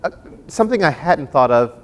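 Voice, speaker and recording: A man's voice: sing-song, moderate pace. A man hosting a panel discussion on a theater stage in a conversational interviewing style. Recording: clear background noise with low rumble.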